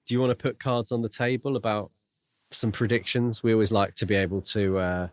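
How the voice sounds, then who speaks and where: A man co-hosting a casual book discussion, speaking in a measured, reflective way. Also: on the low side, fairly even intonation, dry recording. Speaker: podcast co-host.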